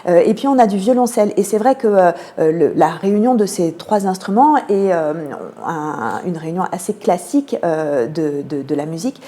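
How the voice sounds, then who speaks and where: A woman with a French accent from France giving measured, explanatory answers as a radio interview guest, pausing often to find her words. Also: on the low side, expressive, warm tone. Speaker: theatre programme manager, interview guest.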